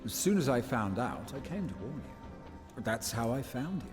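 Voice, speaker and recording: A shrewd male schemer from a sci-fi game, played by an actor. His mid-range voice moves in wide, insinuating swings. He is measured and wry, with music playing behind him. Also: mid-range voice, big pitch swings, natural voice. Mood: calm, calculating. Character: smooth, shrewd male schemer offering bounty deals. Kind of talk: video game cutscene acting.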